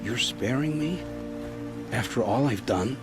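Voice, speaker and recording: An actor playing a weary, guilt-ridden scout in a video game cutscene, speaking gravely and earnestly over music. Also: male voice, medium pitch, expressive, a little slow, natural voice. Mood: humbled, grateful. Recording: music behind the voice.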